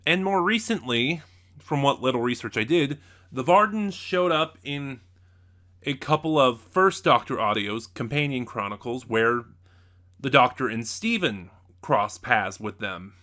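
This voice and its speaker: A man giving a casual, unscripted review as a reviewer, speaking haltingly and often losing his thread. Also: medium pitch, animated, pushed and bright. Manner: halting, loses his train of thought.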